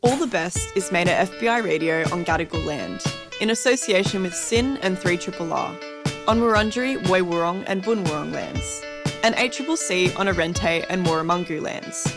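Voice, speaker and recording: A woman's voice: fairly low pitch, moderate pace. Australian woman hosting radio over music. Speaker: radio show host. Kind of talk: scripted radio outro and credits. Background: music bed.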